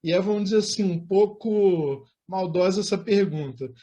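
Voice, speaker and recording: A man's voice: sits high, normal speed, mellow. A man with a Brazilian accent hosting an online interview in formal, wordy phrasing. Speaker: interview host.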